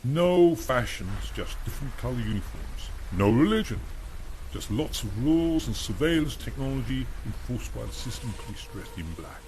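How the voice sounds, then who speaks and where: A man's voice: medium pitch, sing-song, moderate pace, a little mellow, slight background noise, poor audio. A man narrating a dystopian radio drama in a grim, world-weary, emphatic way.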